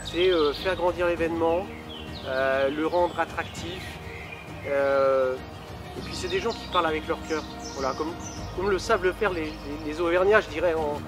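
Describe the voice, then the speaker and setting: A man, giving an informal testimonial as a volunteer event organiser, hesitantly searching for his words. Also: a little high. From Paris, France. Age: 60s.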